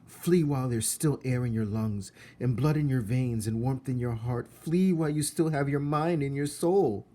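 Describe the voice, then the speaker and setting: A man voicing sad, faint ghost children in a storybook, with drawn-out, old-fashioned speech. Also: mid-range voice, warm tone, pitched above the performer's own voice, natural voice. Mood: urgent pleading. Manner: drawn-out, archaic wording.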